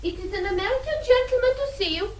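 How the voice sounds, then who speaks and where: An actress playing a fond, wily Austrian housekeeper in a radio play, with deliberate, conspiratorial phrasing and a German-speaking Austrian accent. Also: female voice, very high-pitched, darkish tone, slight background noise. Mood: polite. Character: warm, wily Austrian housekeeper, defiant of police. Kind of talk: radio drama acting.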